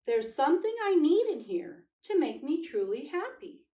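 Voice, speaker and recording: A woman's voice: high-pitched, big pitch swings, normal speed, mellow, pitched above the performer's own voice. A woman voicing a small, childlike male toy bear in a storybook, earnest, wondering and plaintive. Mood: uneasy, puzzled. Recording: echoey room, distant microphone.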